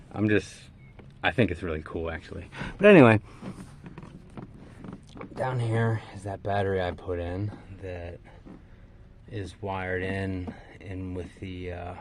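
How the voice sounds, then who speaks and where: A man giving an unscripted, casual vlog walkaround, talking in a laid-back, halting, wryly resigned way. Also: low voice. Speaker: vlogger showing his own vehicle. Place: in and around an old SUV. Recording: noisy, vehicle ambience.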